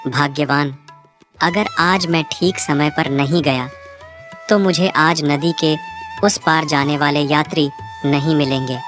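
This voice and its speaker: A woman voicing a simple, honest village boatman, a hurried husband who is coaxing and mildly impatient, in a cartoon story. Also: low voice.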